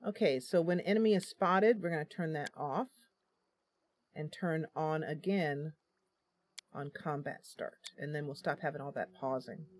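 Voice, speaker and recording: A woman's voice: low voice, measured pace, a little hiss or hum. A woman hosting a casual let's-play, thinking aloud through the game with frequent ums and talking directly to her viewers. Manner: playful, addresses viewers directly. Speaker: gaming channel host.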